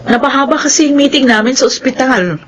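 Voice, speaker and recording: An anxious, expressive Filipino mother. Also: sits high, fast, a little hiss or hum. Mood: defensive. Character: worried, gentle, pleading mother and wife. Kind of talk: Filipino radio drama acting.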